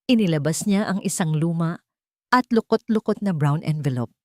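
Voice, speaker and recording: A woman's voice: on the low side, animated, normal speed, dry recording, clean studio sound. A woman with a Filipino accent narrating a scripted dramatic story.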